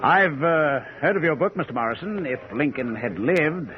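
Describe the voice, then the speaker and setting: An actor playing an absent-minded, excitable middle-aged professor with a musing, eager manner in an old-time radio drama. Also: male voice, fairly high pitch, normal speed, natural voice. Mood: intent. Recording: noisy, muffled old radio recording.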